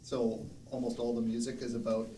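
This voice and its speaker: A man's voice: medium pitch, completely flat delivery, fairly quick, dark tone. A man with a mid-range voice talks casually and a bit hesitantly. He sounds away from the microphone in an echoey room, with faint noise underneath. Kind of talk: casual chat. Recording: roomy, distant mic, faint background noise.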